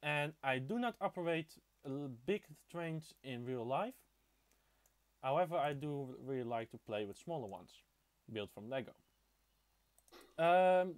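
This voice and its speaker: A 19-year-old man from the Netherlands casually hosting a live hobby podcast, stumbling a little over his words. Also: mid-range voice. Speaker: podcast host.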